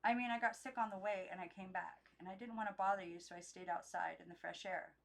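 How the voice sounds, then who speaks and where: A woman's voice: mid-range voice, fairly even intonation, fast talker, vocal fry, a bit bright. A young schoolgirl from a children's novel, voiced by a woman reading aloud. She dodges questions and defends herself with excuses. Her manner is evasive. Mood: defensive.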